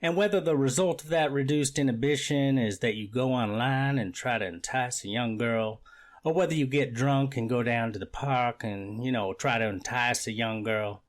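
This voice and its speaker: A man playing an earnest, stern courtroom official in a readers theater reenactment, speaking in a measured, deliberate way. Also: mid-range voice, lightly acted.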